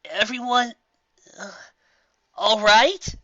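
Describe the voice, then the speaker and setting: A man voicing a brash young female pegasus pony in a fantasy story, with excitable, urgent delivery. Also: sits high, extremely slow, dry recording, muffled recording, voice pushed up, exaggerated voice. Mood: strained concern.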